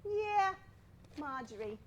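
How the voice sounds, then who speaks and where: A woman's voice: high voice, sing-song, slow pace, exaggerated voice. An actress playing a breezy, joking older mother in a staged comedy reading. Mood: matter-of-fact.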